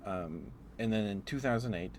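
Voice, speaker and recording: A man with a low-pitched voice chats in a casual ASMR style. He meanders through stories, halting and circling back as he goes. There is clearly audible background noise behind him. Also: darkish tone. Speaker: ASMR streamer. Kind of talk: rambling casual ASMR chat.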